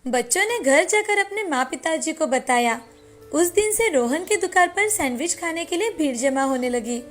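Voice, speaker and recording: A woman storyteller reading a scripted moral tale as narrator. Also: high voice. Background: faint background music.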